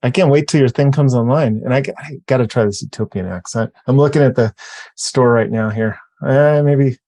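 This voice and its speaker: A man hosting a podcast interview, upbeat and quick with short agreeing replies. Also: medium pitch, mellow, dry recording. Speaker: podcast host.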